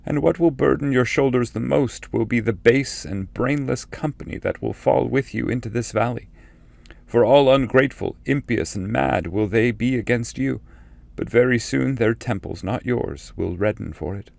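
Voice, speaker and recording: A man voicing a grave, fatherly ancestral spirit who foretells exile in solemn, measured verse from an epic poem. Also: mid-range voice, creaky, darkish tone, dry recording, close to an everyday voice. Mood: grave, prophetic.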